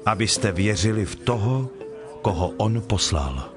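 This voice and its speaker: Guy acting calm holy teacher in bible drama, kinda deep, solemn n measured, music behind. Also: lightly acted. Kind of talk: dramatized scripture reading.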